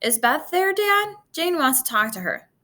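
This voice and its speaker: An anxious teenage big sister from a storybook, acted out by a woman reader. She is urgent and pleading, with a high, bright voice that swings widely. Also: sing-song, normal speed, full character voice. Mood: shaken. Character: anxious, insistent teenage girl, eldest sister.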